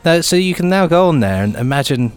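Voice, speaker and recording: A man's voice: on the high side, animated, fairly quick, soft background music. A man co-hosting a podcast in an informal, chipper chat, often chiming in. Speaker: podcast co-host. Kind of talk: casual chat.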